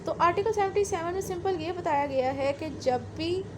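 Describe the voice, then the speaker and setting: A woman's voice: very high-pitched, moderate pace. A Pakistani woman giving an explanatory law lecture, repeating and rephrasing points in a didactic way, switching into English terms. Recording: clearly noisy recording with ambient sound.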